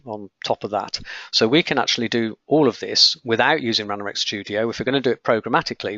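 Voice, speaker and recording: A man with a croaky voice, teaching a live webinar on software testing, explaining as he clicks through screens with frequent okays and ums. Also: mid-range voice, no room sound, dull audio.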